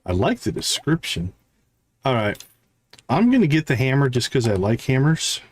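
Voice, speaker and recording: Lively-pitched man musing through game commentary. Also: husky. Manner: deliberate, musing, reading item stats aloud. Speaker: game livestream host. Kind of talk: casual gameplay commentary, thinking aloud.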